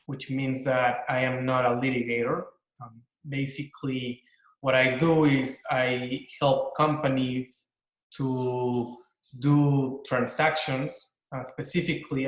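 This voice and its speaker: Mexican-accented man in an echoey room. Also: medium pitch. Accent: Mexican Spanish. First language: Spanish. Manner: earnest, explanatory, many restarts and fillers. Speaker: corporate attorney, panel guest. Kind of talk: explaining his job to students on a panel.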